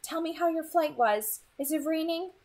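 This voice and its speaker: Lady doing a high pitched worried mom, impatient, scatterbrained, audiobook character. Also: moderate pace, a little mellow. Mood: fretful, affectionate. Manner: fretful, insistent. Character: anxious, impatient, scatterbrained mother writing to her son. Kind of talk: storybook character voice in a read-aloud.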